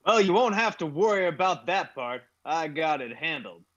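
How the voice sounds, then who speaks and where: Man playing a sharp-voiced cocky gangster boss. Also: on the high side, lively intonation, normal speed, lo-fi sound. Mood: menacing, smug. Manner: threatening wisecracks. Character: cocky, menacing male movie gangster boss. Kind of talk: 1930s gangster movie parody acting.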